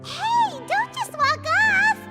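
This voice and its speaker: A small childlike game companion. The voice is extremely high, squeaky and very animated, cheerful and a bit bossy. Loud music plays behind it. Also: female voice, takes it slowly, full character voice. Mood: indignant. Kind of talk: video game dialogue acting.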